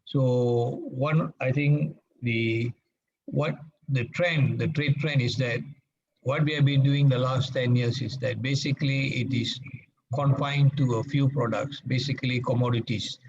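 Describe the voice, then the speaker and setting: A man from Malaysia, giving a formal talk as a guest speaker at a meeting and pausing often with fillers. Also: mid-range voice, fairly even intonation, a little hiss or hum. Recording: dull lo-fi sound.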